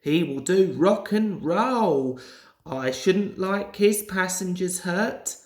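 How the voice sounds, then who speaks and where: A woman's voice: fairly low pitch, expressive, slow pace, a little mellow. A woman voicing a friendly, hard-working male diesel engine in a storybook, earnest and fretful, in an echoey room. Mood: worried. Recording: roomy, echoing home recording.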